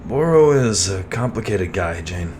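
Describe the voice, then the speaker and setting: A man playing a laid-back, protective soldier in a sci-fi audio drama, with a wry, calm, reassuring manner. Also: deep voice, measured pace, a little hiss or hum.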